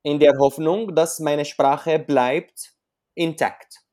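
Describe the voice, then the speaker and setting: A man's voice: on the high side, steady tone, slow pace, darkish tone. Man with Arabic accent, searching for words. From Syria. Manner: hesitant, searching for words in non-native German, explains with examples. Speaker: interview guest, author. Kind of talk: conversational interview.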